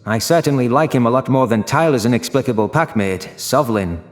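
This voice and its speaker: A male audiobook narrator with a mid-pitched voice reads scripted first-person science fiction. Faint music plays underneath his narration. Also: lively intonation, a little fast.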